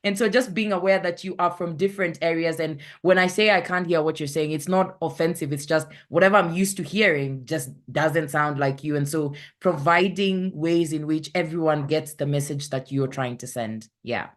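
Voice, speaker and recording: A woman's voice: low-pitched, moderate pace, few pauses. A woman with a Kenyan accent, a content creator talking casually on an online panel, often searching for the right word. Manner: chatty, searches for words, acts out anecdotes.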